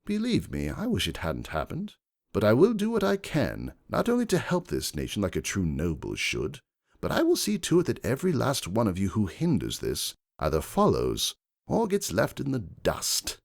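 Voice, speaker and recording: A man playing a self-assured, wealthy gentleman stallion who argues emphatically and cuttingly in a dramatic fan fiction reading. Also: on the low side, huge pitch swings, moderate pace, creaky, pitched above the performer's own voice, exaggerated voice. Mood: defiant.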